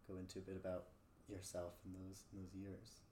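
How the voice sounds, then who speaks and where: A man's voice: sits low, monotone, a little fast, mellow. A man hosting a conversational interview podcast, feeling his way through his questions as he asks them. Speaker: podcast host interviewing a guest. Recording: roomy echo, background room noise.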